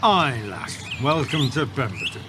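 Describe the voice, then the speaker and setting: A man's voice: mid-range voice, very animated, a little slow, background noise. A man playing a friendly, wry northerner in an audio drama, with a Northern English accent and folksy dialect. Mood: welcoming, wry. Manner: dialect-heavy, folksy, drily joking.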